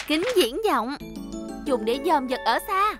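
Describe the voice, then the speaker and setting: Very high, sweet childlike cartoon character. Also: female voice, expressive, takes it slowly, over music. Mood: bright, chatty. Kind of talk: Vietnamese-dubbed children's cartoon.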